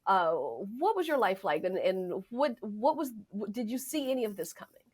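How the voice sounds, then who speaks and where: A woman hosting an interview, asking questions in an energetic, emphatic way. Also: medium pitch, normal speed, breathy edge. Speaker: podcast host.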